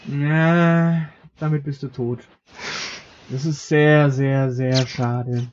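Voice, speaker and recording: A man co-hosting a casual podcast, talking in a wry, halting way with many fillers, and acting as game master for a short role-play game. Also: medium pitch, fairly even intonation, darkish tone, dull audio, slight background noise.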